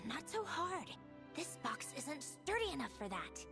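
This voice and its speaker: A woman's voice: sits high, very animated, measured pace, gravelly, music in the background, heavily acted. A woman playing a haughty, indignant young woman who grumbles with exasperation, in a video game. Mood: puzzled, irritated.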